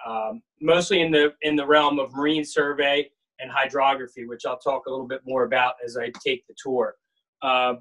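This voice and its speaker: A man in his forties guiding a live walking tour of a research boat and dock, talking casually with many pauses and fillers, filmed on a handheld phone. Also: a little high, a bit bright, no echo, rough recording. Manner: casual, hesitant with frequent uh fillers. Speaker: marine field station staff guide. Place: aboard a workboat and on a dock outdoors. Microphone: phone camera held at arm's length.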